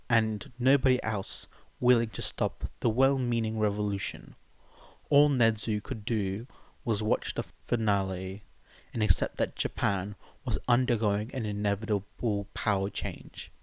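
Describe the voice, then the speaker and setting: A man's voice: lowish voice, steady tone, normal speed, no room sound. A man who works as an amateur podfic reader, speaking informally as he narrates and chats to listeners. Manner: informal, rambling, self-deprecating. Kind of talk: amateur story narration with sung verses, casual rambling chat afterwards.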